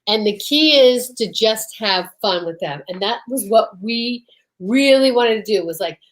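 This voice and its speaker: Female podcast co-host, fairly high voice, gushing and theatrical, switches between casual banter and showy introductions. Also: lively intonation, unhurried. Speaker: co-host of an old-time radio show podcast.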